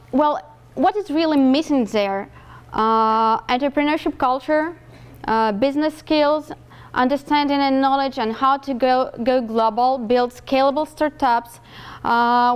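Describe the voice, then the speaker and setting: A woman from Belarus presents at a university speaker series. Her voice is smooth and clear, set a little high. She talks in careful English, pausing often on fillers and restarting phrases. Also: steady tone. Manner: hesitant, many fillers and restarts. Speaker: startup event organizer. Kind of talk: conference presentation. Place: lecture hall.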